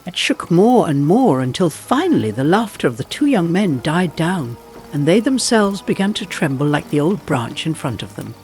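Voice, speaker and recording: A man with a slightly high voice narrates a folk tale. His reading is dramatic and expressive, swinging in pitch, with music underneath. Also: moderate pace. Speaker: storyteller narrator.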